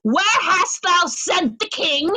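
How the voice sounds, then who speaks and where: A sadistic, commanding lord from Shakespearean tragedy, played by a woman. The voice is very high and sharp, and the words come extremely slowly. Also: sing-song, no echo, exaggerated voice. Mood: interrogating. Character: cruel, commanding nobleman Cornwall, sadistic interrogator. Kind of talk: Shakespearean readers' theatre.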